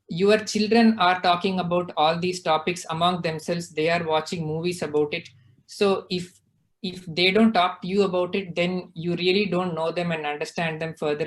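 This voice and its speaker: Earnest father explaining. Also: on the high side. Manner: earnest, explanatory, often checks in with "right?". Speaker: guest speaker. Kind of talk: casual discussion on a video call.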